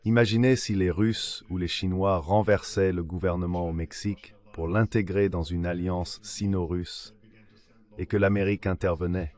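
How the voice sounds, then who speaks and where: A man's synthetic AI voice giving a translated political analysis in a measured, formal read-out manner. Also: sits low, fairly even intonation, darkish tone. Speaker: translation voice-over of an interview guest.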